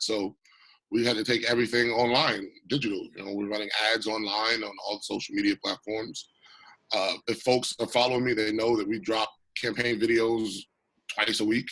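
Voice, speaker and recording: A man with a raspy voice, still recovering from illness, speaking as a congressional candidate in an interview, emphatic and passionate. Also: on the low side, fairly bright tone, low-quality recording. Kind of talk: remote interview.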